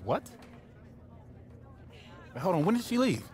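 An actor playing an earnest young college basketball player in a video game cutscene. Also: male voice, high voice, sing-song, slow, darkish tone, a little hiss or hum, heavily acted. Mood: urgent, pleading. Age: young adult.

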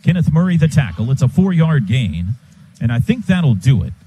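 A man's voice: mid-range voice, very animated, normal speed, dark tone, husky. An American man giving live football play-by-play on the radio in short, clipped phrases. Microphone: broadcast booth microphone. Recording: live stadium broadcast, faint ambient noise.

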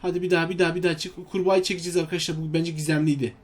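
High-voiced man commentating on a video game. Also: flat delivery, racing. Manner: exclamatory, talks to himself and to viewers. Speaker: gaming video host.

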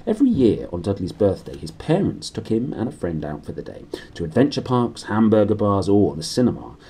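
A man's voice: lowish voice, sing-song, fairly quick, warm tone, slightly rough. A man in his thirties with a British accent, reading a storybook aloud in a small room. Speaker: reader.

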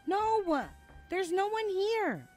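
A woman's voice: extremely high pitch, lively intonation, extremely slow, darkish tone, soft background music, heavily acted. A woman voicing a nervous but defiant younger sibling in an audio roleplay, hesitant and flustered. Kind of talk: amateur audio roleplay acting.

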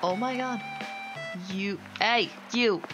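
A woman giving casual, expressive commentary as she streams a video game, gushing and talking in slangy, sweary language. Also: mid-range voice, slow pace, a crowd in the background. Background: game music.

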